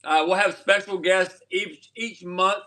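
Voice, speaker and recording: A man's voice: high-pitched, steady tone, a little slow, pushed and bright. A man hosting a casual livestream chat, rambling and repeating himself as he wraps up. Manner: rambling, repeats words, laughs often. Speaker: livestream host.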